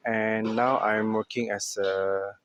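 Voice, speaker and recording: A man's voice: lowish voice, flat delivery, takes it slowly, a bit bright, highs cut off, slight background noise. A man from Indonesia answering interview questions at an office, talking casually with many fillers. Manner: hesitant, filler-heavy. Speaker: interviewee, moderation operations.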